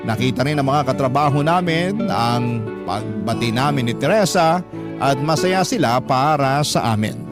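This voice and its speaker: A man with a Filipino accent reading a scripted first-person story as a radio narrator. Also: a little high. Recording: loud guitar music under the voice.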